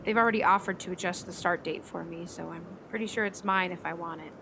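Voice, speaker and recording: A woman's voice: lowish voice, fast talker, gravelly. A torn, grieving lady meteorologist in a radio drama, thoughtful n hesitant, lots of background noise. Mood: torn, uncertain. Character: grieving, conflicted young woman storm-chasing meteorologist. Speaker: actor in a radio play. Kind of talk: naturalistic radio drama dialogue.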